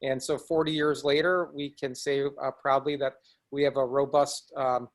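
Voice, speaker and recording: A man's voice: mid-range voice, little pitch movement. A middle-aged man from the Chicago area introduces a webinar over a video call. His voice sits in an ordinary mid register, and he talks earnestly, stopping and restarting often as he gathers his thoughts. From Chicago area, United States. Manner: earnest, halting, with frequent restarts. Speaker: nonprofit executive director, webinar host. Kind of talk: presenting in a webinar.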